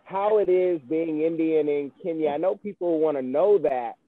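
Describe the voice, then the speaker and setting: A man's voice: on the high side, normal speed. A man hosting a podcast, interviewing casually with rambling asides. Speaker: podcast host. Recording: thin phone-like audio, dry.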